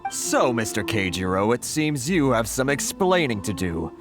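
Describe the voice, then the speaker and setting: An actor playing a shrewd male merchant in a video game, speaking in a measured, courteous and slyly formal way. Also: medium pitch, very animated, over music, lightly acted. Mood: smug, probing. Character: shrewd, polite male merchant negotiating firmly.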